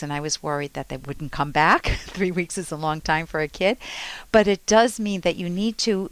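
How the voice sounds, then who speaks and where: A woman's voice: mid-range voice, no echo, poor audio. A middle-aged woman hosting a call-in advice show as a psychologist, talking conversationally and emphatically with personal anecdotes.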